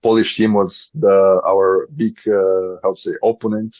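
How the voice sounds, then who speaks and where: A man in his thirties with a Bulgarian accent, a podcast guest speaking English as a second language and often pausing to search for words. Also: deep voice, level tone, darkish tone, no room sound, highs cut off. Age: 30s. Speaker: guest, volleyball player. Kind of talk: podcast interview.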